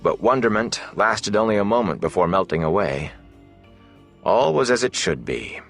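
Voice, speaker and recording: A man reading a fantasy audiobook as its narrator, in a measured, deliberate, dramatic storytelling delivery. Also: lowish voice, lively intonation, creaky, a bit bright, soft background music.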